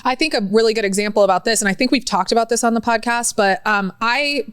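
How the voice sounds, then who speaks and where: A young woman co-hosting a podcast in casual chat, animated and quick to laugh. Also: on the high side, smooth, no echo, clean studio sound. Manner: animated, laughs often, quotes herself in raised voice. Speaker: podcast co-host.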